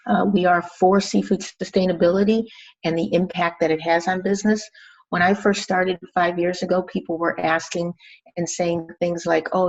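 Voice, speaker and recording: Low-voiced woman executive on a muffled call. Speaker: restaurant company chief executive. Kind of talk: business pitch on a recorded conference call. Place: recorded conference call. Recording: dull band-limited conference call audio.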